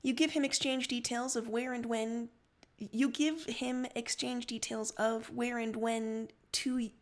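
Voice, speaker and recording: A woman with a high voice reads a story on a livestream. She narrates in an informal storytelling style. Her asides are casual and giggly. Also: fairly even intonation, measured pace. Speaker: livestream story reader and host. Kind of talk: dramatic reading of fan fiction, with casual asides.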